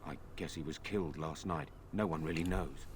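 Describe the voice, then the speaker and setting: A humble, apologetic village smith from a fantasy game. His voice is very low, his speech plain and rustic, with faint ambience behind it. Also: normal speed, a little hiss or hum. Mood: apologetic, subdued.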